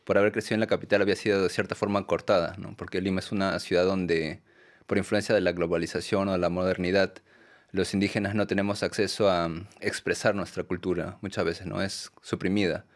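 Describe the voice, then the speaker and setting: A Peruvian man, a documentary filmmaker, answering interview questions in a soft, hesitant way with many fillers and tag questions. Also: low-pitched, level tone, brisk. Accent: Peruvian Spanish. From Peru.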